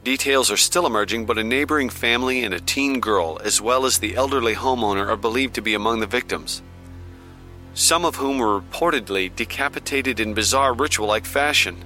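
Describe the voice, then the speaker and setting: Man acting a bright, forceful news anchor. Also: mid-range voice, a little fast, faint music behind, close to an everyday voice. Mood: grave, urgent. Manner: crisp announcer delivery. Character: grave, formal male TV news anchor. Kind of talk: scripted news bulletin in audio drama.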